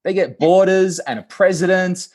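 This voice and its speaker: A man with an Australian accent, a podcast guest talking casually and animatedly, with lots of fillers and quick restarts. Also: high-pitched, a little mellow. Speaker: podcast guest, comedy producer. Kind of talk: casual conversational interview.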